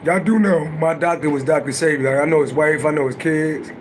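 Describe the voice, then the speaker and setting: A middle-aged man with a naturally raspy voice, chatting loosely and profanely on a livestream and answering viewers' comments. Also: fairly even intonation, brisk, slight background noise. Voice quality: deep voice. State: voice a little worn from singing. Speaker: livestream host and astrology reader. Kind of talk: casual livestream chat.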